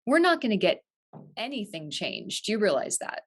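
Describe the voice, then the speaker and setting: A woman's voice: mid-range voice, wildly swooping pitch, moderate pace. A woman who co-hosts a show, bantering casually about a script. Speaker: show creator and co-host.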